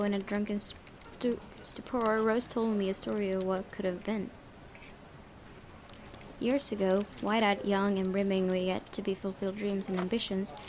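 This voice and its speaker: A woman's voice: medium pitch, steady tone, normal speed, darkish tone, slight background noise. A woman let's player reading visual novel narration aloud, with casual asides and occasional stumbles that she corrects. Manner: stumbles over words and corrects herself.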